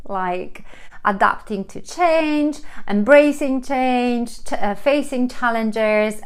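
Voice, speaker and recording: A woman's voice: medium pitch, clear, resonant tone. A woman with a Polish accent gives encouraging workplace advice in her second language. She talks earnestly to the camera, restarting phrases and reaching for fillers as she goes. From Poland. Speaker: vlogger giving workplace advice. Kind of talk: direct-to-camera advice talk.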